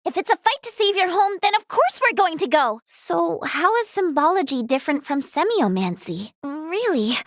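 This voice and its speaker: A woman's voice: very high voice, sing-song, normal speed, hardly any pauses, dry recording, studio quality, full character voice. A woman playing an earnest, caring young female healer and researcher in a video game, with a hesitant, exclamatory way of talking. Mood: curious, earnest. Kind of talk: English video game voice acting.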